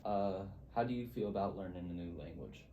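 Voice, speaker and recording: A man's voice: low voice, fairly quick, warm tone, highs cut off. A man working through interview questions with a hesitant delivery. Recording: distant mic, faint background noise.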